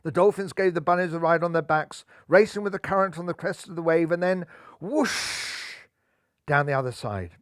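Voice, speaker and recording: A man in his sixties reading a children's story aloud in a lively, theatrical way, seated in a living room with a lapel mic. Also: a little high, moderate pace, dry recording, studio quality. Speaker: storybook narrator.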